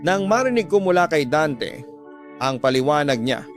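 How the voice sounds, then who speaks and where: A man's voice: a little high, sing-song, moderate pace. A man with a Filipino accent gives an emotional, scripted first-person narration for a radio drama. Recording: music bed behind the voice.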